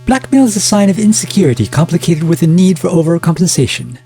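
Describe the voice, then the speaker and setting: A man's voice: fairly high pitch, lively intonation, fast, warm tone, breathy edge, soft background music. A man playing a wry, wordy young companion in an audio drama, prone to sarcasm and self-pity. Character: sarcastic, self-pitying young male companion, amateur psychoanalyst.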